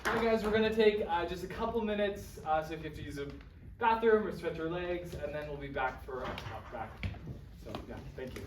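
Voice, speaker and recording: Higher-voiced man moderating, roomy and distant. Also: animated, brisk. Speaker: talkback moderator. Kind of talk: casual audience discussion. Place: roomy indoor performance space, far microphone. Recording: echoey, distant, noisy room recording.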